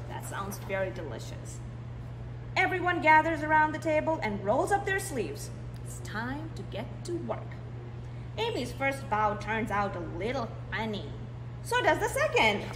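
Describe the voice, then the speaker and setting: A woman with a high, very lively voice reads a storybook to small children. She takes her time and leans expressively on key words. The room is echoey. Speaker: library storytime reader. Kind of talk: animated picture-book read-aloud for young children. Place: indoors in a library, seated. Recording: roomy echo, clear background noise.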